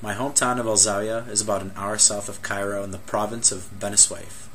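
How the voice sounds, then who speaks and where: A young man from Egypt giving a personal testimony in an earnest, measured way, with many rhetorical questions. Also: lowish voice, little pitch movement, fairly bright tone, slight background noise, rough recording.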